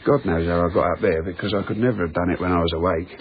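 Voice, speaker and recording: Male actor as withdrawn night guard, deadpan, low voice, radio drama, tinny noisy sound. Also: rapid-fire, natural voice. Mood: deadpan, matter-of-fact. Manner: deadpan, matter-of-fact. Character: odd, withdrawn male night-shift tunnel security guard. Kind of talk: naturalistic radio drama dialogue. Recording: tinny band-limited radio broadcast, audible noise.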